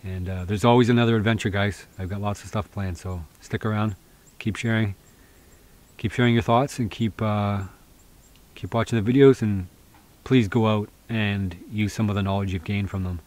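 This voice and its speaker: A man's voice: fairly low pitch, fairly even intonation, moderate pace, a little mellow. A man in his thirties vlogging outdoors in a snowy forest, talking casually and encouragingly to camera with lots of fillers and restarts. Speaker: bushcraft vlogger.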